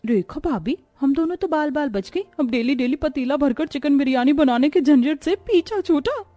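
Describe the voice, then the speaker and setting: Catty cartoon sister-in-law played by a woman, sly and lazy, pitched high, music underneath. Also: expressive, moderate pace, mellow, no room sound. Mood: gloating.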